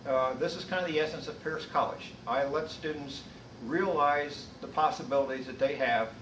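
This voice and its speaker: A man's voice: medium pitch, normal speed. Roomy, distant-miked man instructor. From US Midwest. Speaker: college business instructor. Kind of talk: prepared introduction to camera. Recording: distant mic, roomy echo, noisy background.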